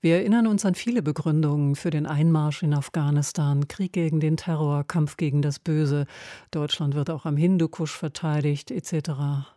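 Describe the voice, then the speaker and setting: A woman's voice: deep voice, fairly even intonation, moderate pace, mellow, no room sound. A woman, presenting and asking questions in a broadcast interview in a radio studio. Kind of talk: broadcast book-review interview, scripted introduction and questions.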